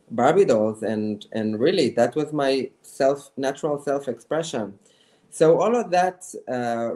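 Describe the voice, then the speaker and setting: A man being interviewed as a guest, telling his life story in a reflective, halting way. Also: medium pitch, darkish tone. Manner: halting, many fillers and restarts, reflective. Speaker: guest, fashion designer.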